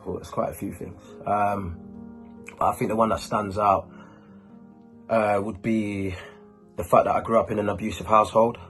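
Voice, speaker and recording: A man with a lowish voice talks to camera in a relaxed, informal vlogging style. He is chatty and uses urban slang, with faint music playing behind him. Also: moderate pace, pauses often. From Ghanaian, born and raised in Germany. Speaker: YouTuber, host. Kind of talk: casual talk to camera answering questions.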